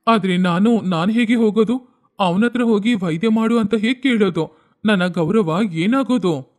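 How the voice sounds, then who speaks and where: A man's voice: high-pitched, moderate pace, natural voice. A man playing a proud village headman humbled into earnestness in a storybook tale. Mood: helpless.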